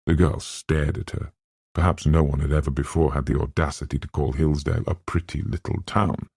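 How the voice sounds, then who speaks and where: A man's voice: very deep voice, steady tone, fairly quick, vocal fry, a little mellow, husky, dry recording, clean studio sound. A man reading a novel aloud as scripted audiobook narration.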